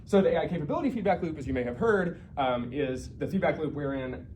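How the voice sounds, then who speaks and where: Fast-talking man giving an informal technical talk. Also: fairly high pitch, expressive, a little mellow. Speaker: guest speaker, researcher. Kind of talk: informal technical lecture to colleagues. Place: talk to a small in-house audience. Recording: faint background noise.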